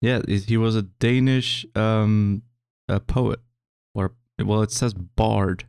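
A man's voice: on the low side, fairly even intonation, slow pace, vocal fry, a little mellow, smooth, no room sound. A young Swedish man co-hosting a podcast in casual chat, speaking hesitantly with many restarts. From Sweden. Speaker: podcast co-host.

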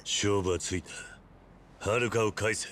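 Very deep-voiced, stoic yakuza hero. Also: male voice, fairly even intonation, slow, fairly bright tone, slight background noise. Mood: stern. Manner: terse, grave, restrained. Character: stoic, tough yakuza hero, protective and calm. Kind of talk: dramatic video game acting.

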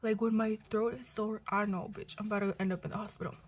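A sick young woman in her twenties, hoarse and congested, chatting casually to a close camera at home, cursing and coughing now and then. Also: medium pitch, steady tone, fast talker, a little mellow, a little hiss or hum. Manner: chatty, swearing, coughs between lines. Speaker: vlogger. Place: living room at home. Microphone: camera close to face.

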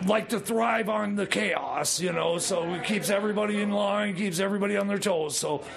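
Comedy radio play: male actor as flustered jail officer, high-pitched, very gravelly, halting and repetitive. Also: a crowd in the background. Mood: hesitant, explaining. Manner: hesitant, stammering, repeats words. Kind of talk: improvised comedy radio theater.

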